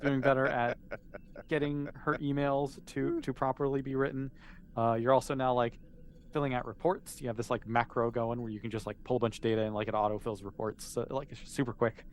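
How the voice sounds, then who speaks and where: A man's voice: medium pitch, level tone, a little fast. A man running a tabletop roleplaying game as game master, explaining and narrating in an informal, conversational way.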